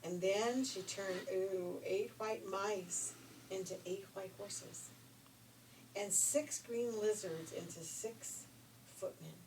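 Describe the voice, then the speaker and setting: An older woman telling a fairy tale informally, in a folksy, expressive, chatty way. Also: medium pitch, takes it slowly. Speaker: storyteller. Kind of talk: informal read-aloud fairy tale storytelling with asides. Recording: distant mic with faint background noise.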